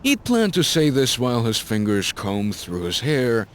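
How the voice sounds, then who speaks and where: Male narrator, scripted story reading, animated pitch, dramatic, faint noise behind. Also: unhurried.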